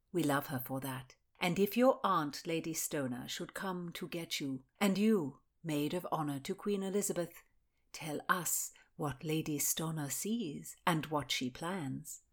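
A woman voicing a cold, menacing noblewoman interrogator who speaks slowly and quietly. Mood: coldly menacing. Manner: slow, low, icy and controlled.

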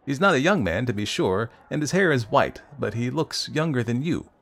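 A man voicing a lively, plain-spoken inn landlord in a storybook, brisk and chatty. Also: medium pitch, gravelly, pitched above the performer's own voice.

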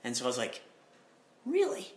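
A man's voice: mid-range voice, wildly swooping pitch, moderate pace. A man hosting a podcast interview, telling anecdotes in a loose conversational way with frequent "uh" and "um". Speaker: podcast host. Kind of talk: casual interview talk. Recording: echoey room with faint noise.

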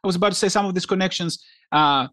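A man from Greece co-hosting a podcast in casual chat, talking haltingly with many restarts and fillers. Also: high voice, a bit bright, no echo, clean studio sound. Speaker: podcast co-host.

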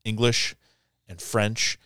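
Smooth, lowish man chatting loosely. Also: fairly even intonation, slow pace, sharp tone, no room sound, studio quality. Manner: loose, swears freely, uses "like" often. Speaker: podcast co-host. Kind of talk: casual movie discussion.

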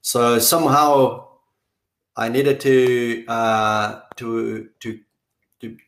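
A man from Brazil, speaking as an interview guest in a halting way, often stopping to search for words and restart. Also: medium pitch, level tone, a bit bright. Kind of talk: conversational interview. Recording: roomy echo.

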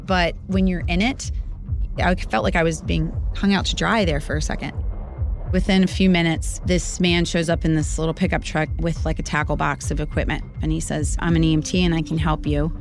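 A woman's voice: sits low, fairly even intonation, a little fast, faint music behind. A woman, a nurse, recounting a frightening event in an interview, telling it vividly with quoted dialogue. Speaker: nurse and witness.

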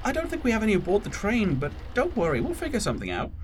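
An actor playing a courteous, reassuring gentleman attendant in an audio drama, speaking politely and formally with gentle concern. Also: high-pitched, huge pitch swings, quick pace, slight background noise.

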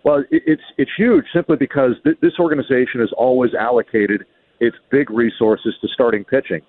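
A man's voice: medium pitch, fairly quick, dry recording. Man on a thin, phone-like line. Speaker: baseball radio play-by-play announcer, guest. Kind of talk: sports radio interview analysis. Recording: muffled narrow-band radio call-in line.

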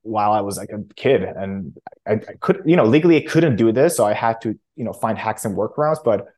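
A man, answering interview questions in a casual, halting way with many restarts. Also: fairly low pitch, lively intonation, darkish tone. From Romania. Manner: halting, frequent self-restarts and fillers. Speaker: startup founder guest.

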